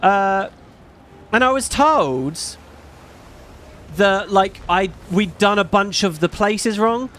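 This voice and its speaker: British streamer, middle-aged man, high-ish light voice, rambling chat, faint noise behind. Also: lively intonation, slow, long pauses, fairly bright tone. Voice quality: fairly high-pitched, not macho. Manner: rambling, chatty, swears casually. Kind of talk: casual live-stream chat.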